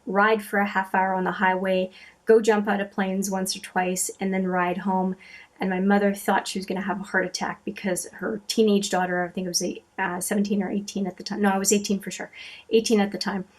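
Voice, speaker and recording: A middle-aged woman from Canada, whose first language is French, chatting casually to camera as she answers viewer questions, with many fillers and restarts. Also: medium pitch. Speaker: makeup channel host.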